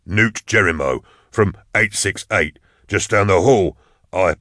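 A man voicing a deep, gruff old ex-soldier. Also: slow pace, pushed and bright, no room sound, clean studio sound, exaggerated voice. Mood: deferential. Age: 60s. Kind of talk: audiobook character dialogue.

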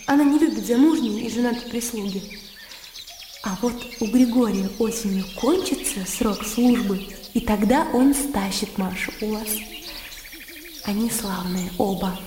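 An actress playing a candid, impulsive young country gentlewoman in a radio drama, girlish and spontaneous. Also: a little high, slight background noise, lightly acted. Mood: chatty, candid. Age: young adult. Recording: reverberant radio drama with birdsong and music. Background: birdsong, music.

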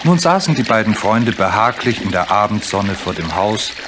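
A man narrates a children's story for a radio play in a lowish voice. It is scripted storytelling, recorded with clearly audible noise. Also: very animated, normal speed.

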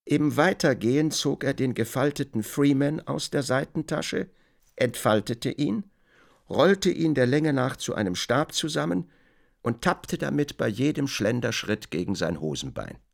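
A male narrator tells a literary story for a radio play in a deliberate, measured way. His mid-pitched voice moves through lively intonation and carries a gravelly creak.

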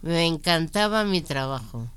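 Slow, bright-voiced older woman. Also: deep voice, animated, smooth. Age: 70s. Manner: chatty, warm-hearted, laughs often. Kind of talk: casual chat.

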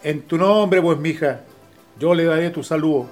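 An actor playing a resigned, kindly older landowner with a Chilean accent and a paternal, colloquial manner, in a radio drama. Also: male voice, on the high side, normal speed, a little mellow, soft background music, lightly acted.

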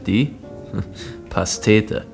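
A man playing a wistful, shy young suitor in a German radio play, speaking in a halting, pensive way. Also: fairly low pitch, vocal fry, music in the background, heavily acted. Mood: tentative, tender. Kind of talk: 1950s-set German radio play acting.

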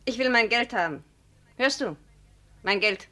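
A woman's voice: fairly high pitch, animated, measured pace. Hard, grasping landlady. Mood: harsh, threatening. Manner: sharp, bullying, insinuating. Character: hard, grasping, scheming lodging-house landlady. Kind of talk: 1950s German radio play acting.

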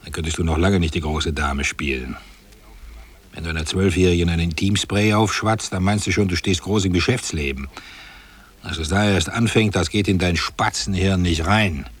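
An actor playing a smug, bullying middle-class husband in a radio play, with a sneering, condescending, grumbling delivery. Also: male voice, low voice, normal speed, husky. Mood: irritated, boastful.

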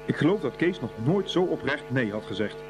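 A man's voice: mid-range voice, sing-song, a little fast, over music, natural voice, rough recording. A man narrating a scripted boys' school story for a radio play. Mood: storytelling.

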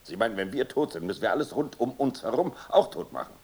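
An actor playing a gruff, commanding submarine captain in a German radio play, with a gravelly, raspy voice and blustering delivery. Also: male voice, mid-range voice, lively intonation, fast talker, a little mellow. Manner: commanding, rhetorical, swinging from bark to mutter.

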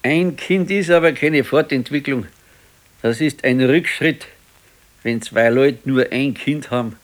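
A man's voice: mid-range voice, unhurried, close to an everyday voice. An actor playing a gloomy, ailing pensioner in his sixties in a radio play, talking plainly and colloquially with a Bavarian accent. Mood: brooding. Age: 60s. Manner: plain, colloquial, brooding complaint. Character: gloomy ailing old pensioner husband, fearful and brooding. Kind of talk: naturalistic radio play dialogue.

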